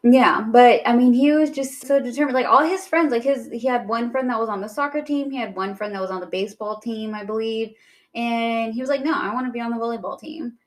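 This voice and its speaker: A woman's voice: fairly high pitch, brisk. A woman who grew up speaking Spanish alongside English, chatting casually as a podcast co-host in a rambling, informal way full of filler "like". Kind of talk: casual chat about anime.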